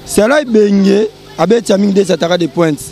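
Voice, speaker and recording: A young man with a Congolese accent gives halting, rambling football views on the street. His voice is high and caught by a reporter's handheld mic outdoors. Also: warm tone. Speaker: interviewee, football fan.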